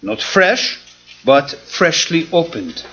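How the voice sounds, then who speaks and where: A man plays a grave foreign professor in a radio play. He is deliberate and authoritative, with a forceful, lively-pitched voice, in a dull live recording. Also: breathy edge, faint music behind, full character voice.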